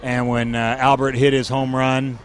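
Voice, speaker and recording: A hoarse man in his forties, a guest telling stories with animated enthusiasm. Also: medium pitch, moderate pace, slight background noise. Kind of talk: casual interview.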